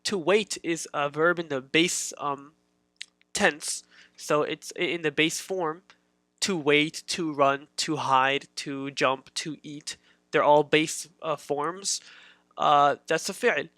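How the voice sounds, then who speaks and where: A man's voice: fairly high pitch, slow, bright, forceful tone, no room sound, clean studio sound. A man teaching a beginner grammar lesson in a casual, patient way, repeating his points with many fillers.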